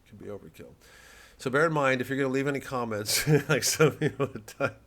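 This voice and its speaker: A man in his fifties rambles through a comic monologue, restarting sentences and swearing freely. His voice sits at a middle pitch, and his tone turns dry and sardonic. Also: fairly quick, fairly bright tone. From United States. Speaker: comedian. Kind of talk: rambling comedic monologue on a livestream.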